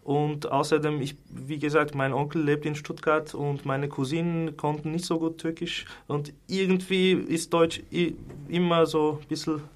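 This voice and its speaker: A man's voice: a little high, flat delivery, moderate pace, few pauses. A man in his thirties from Turkey, a native Turkish speaker with a Turkish accent, chatting as an interview guest and often repeating words as he searches for phrasing. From Istanbul, Turkey. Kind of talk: casual interview chat. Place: radio studio.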